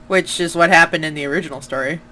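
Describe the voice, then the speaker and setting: A woman playing a video game with casual commentary, joking and exclaiming excitably as she reacts. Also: deep voice, fast talker, slight background noise. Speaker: gamer playing and reacting on a let's play. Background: horror game music and sounds.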